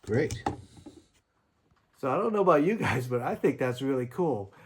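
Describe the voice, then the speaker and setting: A man's voice: mid-range voice, sing-song, normal speed, background noise. A man reviewing gear in a casual, conversational and slightly rambling way, explaining things as he goes. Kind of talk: casual product demo talk.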